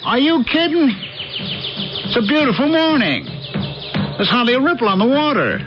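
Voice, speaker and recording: An actor playing a put-upon, restless working husband in a radio drama, with a stammering, emphatic delivery. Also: male voice, very high-pitched, big pitch swings, moderate pace. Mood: amazed, excited. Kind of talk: 1980s radio drama acting. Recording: dull lo-fi old radio broadcast, music bed.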